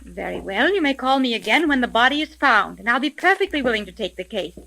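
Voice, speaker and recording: A woman's voice: on the high side, sing-song, fast, pushed and bright, heavily acted. A young woman playing a self-assured, businesslike female private detective in a 1930s radio drama. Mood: brisk, impatient. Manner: crisp, firm, businesslike. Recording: noisy vintage 1930s radio recording.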